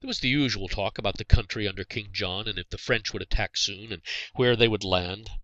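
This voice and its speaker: A man narrating a scripted storybook tale as its author, in a dramatic, expressive way. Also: fairly low pitch, fairly quick, no room sound, lo-fi sound. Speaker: author reading own story.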